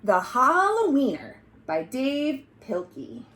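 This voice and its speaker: A woman hosting a children's storytime, reading aloud expressively and pausing to explain words and ask the listeners questions. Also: sits high, wildly swooping pitch, fairly bright tone. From United States.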